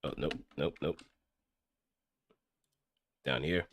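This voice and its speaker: A man's voice: rumbling low, very slow, a bit bright, dry recording, studio quality. A man talking casually to himself while streaming. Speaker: streamer practising voice acting. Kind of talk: casual self-talk on a livestream.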